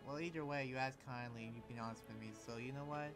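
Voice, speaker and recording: A man's voice: mid-range voice, steady tone, over music, close to an everyday voice. A man playing an earnest, sympathetic demon slayer, speaking hesitantly and reassuringly in an improvised anime roleplay. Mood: kind, hesitant. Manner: hesitant, halting, gently reassuring. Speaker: Minecraft roleplay player.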